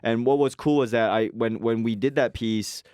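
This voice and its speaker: A comedian guest in a studio chat, animated and quick to laugh, explaining things with examples. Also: male voice, mid-range voice, clear, resonant tone, no echo. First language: English. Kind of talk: casual talk show chat. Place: radio studio. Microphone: studio mic.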